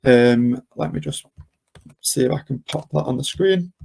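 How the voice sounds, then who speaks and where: A man presenting a live software demo and question session, explaining step by step in an informal, hesitant way. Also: mid-range voice, a little slow, creaky, slightly rough. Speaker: webinar presenter and software demonstrator.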